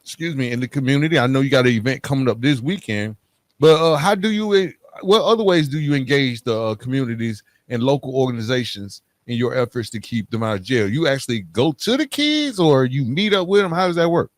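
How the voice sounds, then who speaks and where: A man's voice: medium pitch, expressive, fairly quick. A man hosting a podcast, interviewing conversationally and restating what his guest has said before asking more. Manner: echoes and restates the guest's points. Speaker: podcast host.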